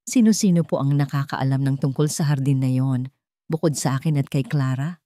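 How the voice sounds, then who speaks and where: A woman's voice: extremely low pitch, fairly quick, dark tone, dry recording, clean studio sound. A woman voicing a determined male hacienda master, direct and courteous, in an audio story. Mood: direct, polite.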